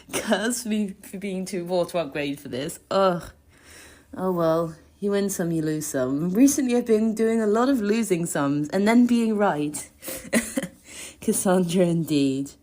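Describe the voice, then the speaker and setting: A woman's voice: on the low side, darkish tone. A woman recording a casual voice message in a wry, playfully dramatic way. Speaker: listener submitting a voice message.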